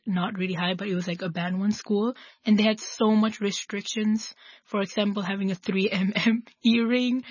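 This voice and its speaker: A woman in her twenties from Hong Kong, talking as a radio guest. She is chatty and relaxed, scattering "like" through her sentences and laughing lightly. Her voice sits in a middle pitch, recorded dry with a dull, lo-fi sound. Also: dry recording. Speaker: guest, university student. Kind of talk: radio interview answers.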